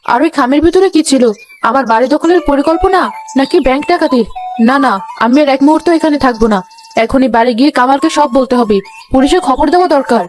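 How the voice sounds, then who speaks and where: A high, quick female voice acting a snooping mother-in-law in a comedy cartoon. She is suspicious and dramatic, quick to accuse, with light music behind her. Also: close to an everyday voice, low-quality recording. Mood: alarmed.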